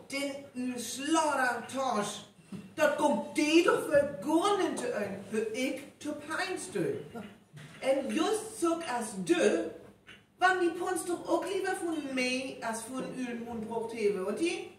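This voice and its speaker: A woman's voice: high-pitched, expressive, takes it slowly, far from the mic. A woman with a North Frisian accent playing an outspoken village woman in a live radio play. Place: reverberant venue, distant microphone. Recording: very echoey live recording, faint noise.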